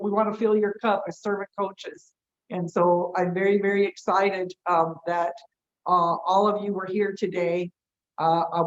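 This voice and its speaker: Woman hosting over dull, lo-fi call audio. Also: medium pitch. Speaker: host of an online coaching community meetup. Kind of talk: informal hosting of an online group meeting. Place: online group video call.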